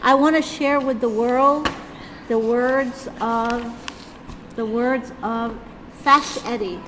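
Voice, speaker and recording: A woman's voice: on the high side, steady tone, slow pace. A woman interviewer speaking in a casual, conversational way. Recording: reverberant room, clear background noise.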